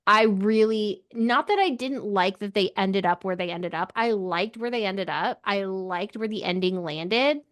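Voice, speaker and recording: A woman's voice: medium pitch, a little slow, hardly any pauses. A woman co-hosting a book podcast in casual chat, speaking in an informal, opinionated and emphatic way.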